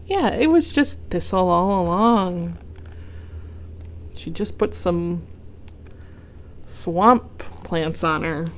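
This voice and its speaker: A young adult woman reading a picture book aloud to children, gently pausing to ask the listeners questions. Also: on the low side, expressive, slight background noise. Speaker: children's story reader. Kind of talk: read-aloud storytime for kids.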